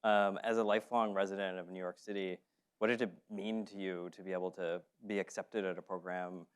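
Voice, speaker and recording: A man moderating a panel, asking measured, polite questions. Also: low-pitched, level tone, clean tone.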